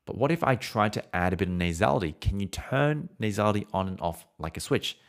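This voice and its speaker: A man teaching singing in a relaxed, conversational way, now and then humming or holding vowels to show a point. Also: lowish voice, a little fast. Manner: conversational, demonstrates with hums and held vowels. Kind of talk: explanatory singing lesson, podcast.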